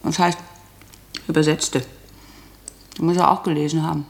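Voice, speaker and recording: A woman's voice: deep voice, flat delivery, moderate pace, vocal fry. An actress playing a chatty, inquisitive young landlady's daughter in a German radio play, with a matter-of-fact manner.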